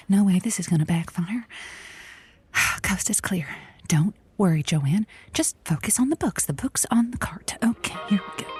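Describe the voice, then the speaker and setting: A woman playing an anxious, sarcastic librarian who mutters to herself in a comic audio drama. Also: mid-range voice. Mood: nervous, hushed. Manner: talks to herself.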